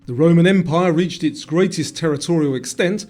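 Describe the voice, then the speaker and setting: A man's voice: on the high side, normal speed, darkish tone, faint music behind. A man reading out scripted quiz questions and their answers as a quizmaster.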